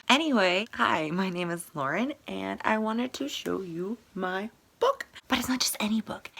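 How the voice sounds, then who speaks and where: A woman's voice: on the low side, a bit bright. A woman author presenting and reading a storybook aloud in a theatrical, rhythmic way. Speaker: author promoting and reading own book. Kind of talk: storybook read-aloud and promotional talk.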